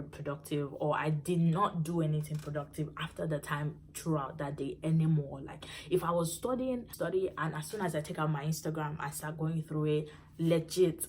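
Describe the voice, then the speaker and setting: A woman's voice: sits low, steady tone, normal speed, slight background noise. A young woman with a Nigerian Yoruba accent, a native Yoruba speaker, chatting directly to her viewers as she shares a personal testimony. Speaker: vlogger. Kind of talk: casual personal testimony to camera.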